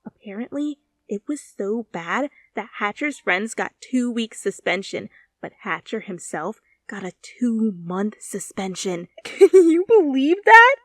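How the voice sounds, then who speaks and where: A woman voicing a gossipy, scornful female student with an eager, animated delivery in storybook dialogue. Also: fairly high pitch, a little slow, no echo, full character voice. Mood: amused scorn.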